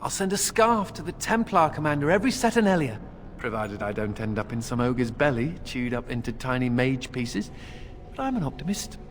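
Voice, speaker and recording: A man's voice: mid-range voice, sing-song, fairly quick, lightly acted. A man playing a flippant, self-deprecating mage in a video game, talking in a wry, joking way. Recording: very echoey hall, background noise.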